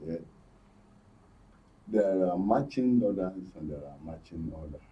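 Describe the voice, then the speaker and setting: A man's voice: deep voice, animated, measured pace. A man with a Nigerian accent giving political analysis as a guest in a discussion. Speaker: panel guest, political commentator. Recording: muffled, slightly distant microphone.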